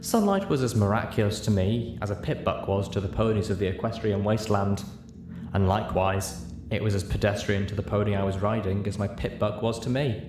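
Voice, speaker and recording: A man reading a story aloud as narrator, in an expressive scripted style. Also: on the low side, brisk. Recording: roomy, clearly noisy home recording.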